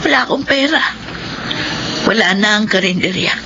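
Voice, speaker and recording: An actress playing a defensive, shifty eatery owner in a theatrical, exclamatory Philippine radio drama style. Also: female voice, medium pitch, animated, normal speed, natural voice. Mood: defeated, resigned. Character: defensive, shifty eatery owner woman, brazen then defeated. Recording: dull lo-fi radio sound, clear noise.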